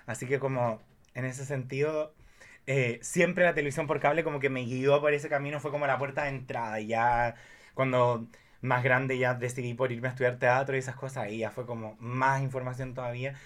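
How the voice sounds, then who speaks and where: Chilean man in his thirties, podcast guest, medium pitch, effusive and rambling, conversational. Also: fairly even intonation, a little fast. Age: 30s. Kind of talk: casual chat.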